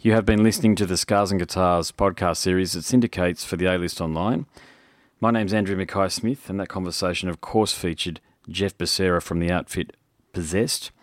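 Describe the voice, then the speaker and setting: A man's voice: deep voice, steady tone, brisk, dry recording, studio quality. A man, hosting a podcast in a friendly, chatty way. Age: 40s. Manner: friendly, chatty, says mate and man a lot. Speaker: podcast host. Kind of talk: casual interview chat.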